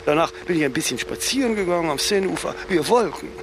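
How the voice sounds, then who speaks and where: An actor playing an imperious, self-mythologizing older fashion designer in a German radio play, speaking haughtily in aphorisms and tagging sentences with "ne?". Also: male voice, a little high, expressive, fast talker, background noise. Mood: grand, reminiscing.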